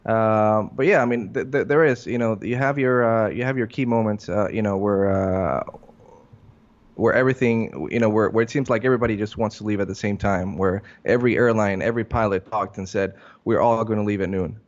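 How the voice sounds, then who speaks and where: A man answering interview questions as an air traffic controller, in a halting, hesitant way full of fillers, on a muffled recording. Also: lowish voice. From Puerto Rico.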